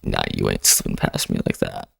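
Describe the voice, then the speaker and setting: A video host giving casual reaction commentary in an informal, expressive, sweary way. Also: a bass voice, normal speed, creaky, husky, dry recording, studio quality.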